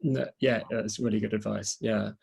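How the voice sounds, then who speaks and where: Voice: medium pitch, steady tone, moderate pace, creaky, darkish tone, slightly rough. A panel host informally moderating, responding to speakers and putting questions to them.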